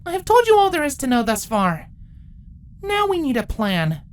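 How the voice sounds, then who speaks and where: A man's voice: very high-pitched, wildly swooping pitch, normal speed, a little hiss or hum, voice pushed up, heavily acted. A man voicing an earnest, commanding young pony princess in a fantasy story reading. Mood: resolute.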